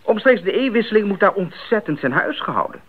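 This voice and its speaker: A man's voice: sits high, big pitch swings, brisk, phone-quality sound. An actor playing an earnest, idealistic young missionary explaining himself to his parents in a radio drama. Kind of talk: 1980s Dutch radio drama acting. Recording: thin band-limited old radio recording.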